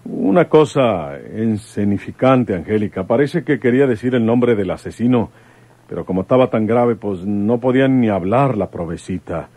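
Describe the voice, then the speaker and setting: An actor playing an earnest, quick-tempered rural commissioner in a radio soap, with folksy, halting speech. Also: male voice, lowish voice, warm tone, slightly rough, no echo. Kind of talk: Mexican radio soap acting.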